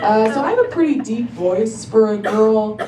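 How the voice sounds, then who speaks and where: A young woman performing a stand-up comedy set with a laid-back, halting delivery full of ums. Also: on the high side, lively intonation, mellow. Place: comedy club stage. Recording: roomy club recording from a distance, crowd noise. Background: audience laughter.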